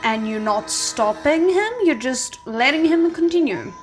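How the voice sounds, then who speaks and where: A woman's voice: high voice, lively intonation, unhurried, faint music behind. A woman hosting a reaction video, commenting casually and thinking aloud in a hesitant way.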